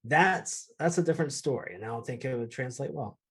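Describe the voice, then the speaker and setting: A man's voice: medium pitch, expressive, brisk, slightly rough. A man in a casual panel chat, giving blunt, sweary opinions. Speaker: podcast panelist.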